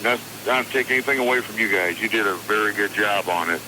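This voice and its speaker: A man in his forties calling a radio show, chatting casually in a hesitant, halting way. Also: fairly low pitch, steady tone. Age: 40s. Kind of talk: casual phone-in chat. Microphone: telephone. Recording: noisy phone call on radio.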